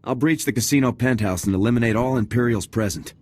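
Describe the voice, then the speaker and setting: An actor playing a resolute, blunt soldier with a clipped military manner in a video game. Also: male voice, medium pitch, fast, a little mellow, breathy edge, lightly acted. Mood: businesslike. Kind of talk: video game cutscene dialogue.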